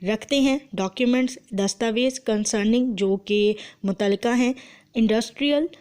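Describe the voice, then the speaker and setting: A woman's voice: a little high, a little slow, darkish tone. A woman with a Pakistani accent giving an explanatory law lecture, methodical and didactic, translating formal text phrase by phrase and restating each point.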